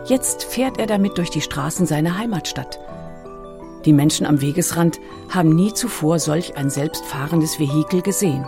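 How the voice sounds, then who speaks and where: Female narrator, scripted documentary read, low register with noticeable fry, over clear music.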